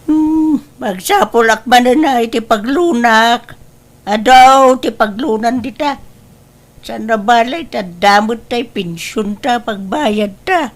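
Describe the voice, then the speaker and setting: An actress plays a proud older Ilocano housewife in a comedy drama. Her high voice drags slowly through each line, whiny and insistent, stubbornly set on having her way. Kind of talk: Ilocano comedy radio-style drama acting.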